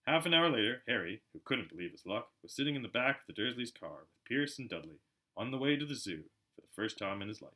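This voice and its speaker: A man narrating a storybook aloud in an expressive, dramatic way, as an amateur audiobook reader. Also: mid-range voice, fast talker, rough recording. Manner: animated, dramatic.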